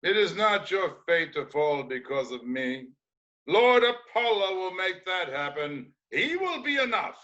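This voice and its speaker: Casting: male actor as aged blind seer, high forceful voice, ominous, defiant, declaiming classical tragedy. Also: very animated, measured pace, highs cut off, heavily acted. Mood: ominous, bitter. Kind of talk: dramatic classical Greek tragedy reading.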